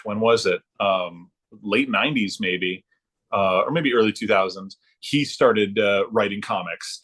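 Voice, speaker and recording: A middle-aged man reminiscing casually, thinking aloud in a halting, informal way. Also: fairly low pitch, a bit bright. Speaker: guest, former comics production worker turned librarian.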